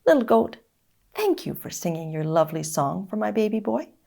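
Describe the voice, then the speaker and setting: A woman's voice: medium pitch, very animated, moderate pace, gravelly. Woman voicing a gracious, tender storybook mother. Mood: tender, grateful. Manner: gentle, grateful. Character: gracious, kind young mother speaking tenderly.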